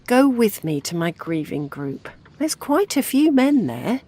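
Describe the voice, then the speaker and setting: An actress playing an older widowed mother in an audio drama, grieving yet gently scheming as a matchmaker. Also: a little high, big pitch swings, measured pace. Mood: brisk, nudging.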